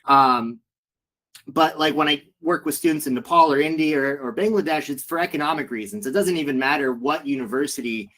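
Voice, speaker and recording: A man's voice: on the high side, a little fast, fairly bright tone. A man speaking on a panel as an educator, frank and casual, with many fillers. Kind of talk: panel discussion.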